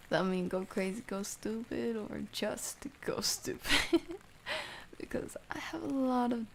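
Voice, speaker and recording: A woman livestreamer speaking softly and gently in ASMR style, drifting between a soft voice and whispers as she chats to viewers. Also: fairly high pitch, lively intonation, takes it slowly, vocal fry, pauses often, background noise. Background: soft rain ambience.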